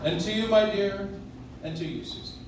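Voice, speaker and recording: A man playing a kindly, gentle elderly Santa figure in a live radio play, courteous and soft-hearted, recorded from the audience. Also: sits high, moderate pace, over loud music, lightly acted. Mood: warm, helpful. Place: coffee house stage, recorded from the audience. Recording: distant audience recording, echoey, noisy room.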